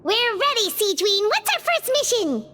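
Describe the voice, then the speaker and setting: A woman voicing a tiny, excitable childlike companion with a squeaky voice in a scripted English game dub. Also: normal speed, faint music behind, full character voice. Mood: eager, excited. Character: tiny chirpy floating companion, childlike, eager and outspoken.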